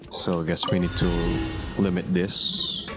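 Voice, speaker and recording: A man's voice: sits low, flat delivery, a little slow, music in the background. A man giving casual commentary over a video game walkthrough. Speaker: gameplay commentator.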